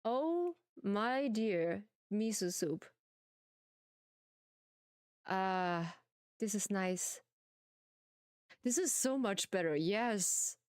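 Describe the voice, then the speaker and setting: A woman streamer with a German accent, playfully chatting to viewers in simple, halting English while she plays a farming game. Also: medium pitch, expressive, darkish tone, clear, resonant tone, no room sound, clean studio sound.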